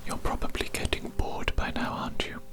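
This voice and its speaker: A performer voicing a condescending alien doctor in a breathy ASMR whisper, soothing, patronizing and repetitive during a medical roleplay. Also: a little fast, natural voice. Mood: gently condescending. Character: condescending, politely amused alien doctor giving a hearing exam.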